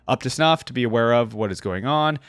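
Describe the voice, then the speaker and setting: A man's voice: medium pitch, animated, fairly quick, dry recording. Casual man, close dry sound. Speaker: YouTube reaction host. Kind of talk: casual reaction commentary.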